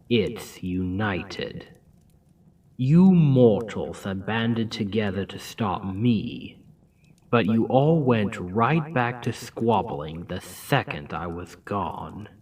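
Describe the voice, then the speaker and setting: A scheming, seductive demon king, played by a man speaks slowly and in a low voice, his tone rising and falling with lively swings. He often drops into a close, intimate whisper, as in an ASMR roleplay. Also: breathy edge, no echo, full character voice. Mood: scornful. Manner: slow, deliberate, often whispered.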